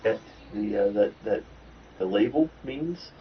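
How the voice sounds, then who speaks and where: A man's voice: on the low side, animated, a little slow. A man playing a tabletop game, speaking hesitantly and stammering. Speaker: player. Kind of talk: casual tabletop play. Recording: dull lo-fi audio.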